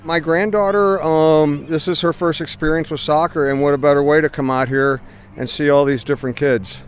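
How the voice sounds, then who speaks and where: An older man, a grandfather, talking in a relaxed, easygoing way at an outdoor soccer practice. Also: fairly high pitch, moderate pace, clean tone.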